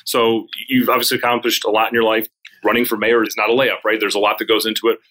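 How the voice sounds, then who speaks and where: A man's voice: lowish voice, flat delivery, fast, sharp tone, low-quality recording. A man hosting a podcast interview from a campaign office, asking casual questions with plenty of fillers. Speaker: podcast host.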